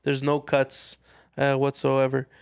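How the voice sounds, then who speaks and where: A man's voice: medium pitch, completely flat delivery, takes it slowly, vocal fry, smooth. A man talking casually as a podcast co-host, often repeating phrases for emphasis. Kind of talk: casual chat about video games.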